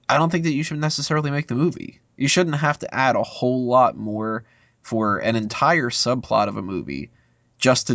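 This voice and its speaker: A man's voice: mid-range voice, vocal fry, fairly bright tone, no room sound. A man giving casual, opinionated film commentary as a podcast panelist, discursive and full of asides. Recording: dull lo-fi podcast audio.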